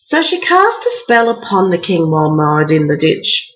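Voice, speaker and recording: A woman's voice: lowish voice, very animated, moderate pace, fairly bright tone, lo-fi sound. A woman storyteller reading a rhyming picture book aloud as a bedtime story.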